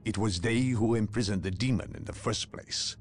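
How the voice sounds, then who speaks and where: An actor playing a cold, secretive male inquisitor who speaks in a measured, coldly lecturing way, in a video game cutscene. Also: on the low side, faint music behind, lightly acted. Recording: voice over orchestral game score.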